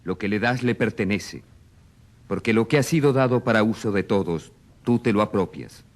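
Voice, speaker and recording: An actor playing a venerable church authority, reciting gravely and formally in a radio drama. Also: male voice, on the low side, moderate pace, natural voice.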